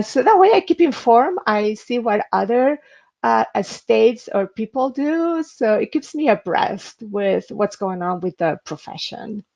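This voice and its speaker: Gravelly-voiced woman. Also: medium pitch, darkish tone. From Spain. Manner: earnest, advisory, frequent hesitations. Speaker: interpreter giving a webinar. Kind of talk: instructional webinar talk with screen demo. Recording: dull lo-fi webinar audio.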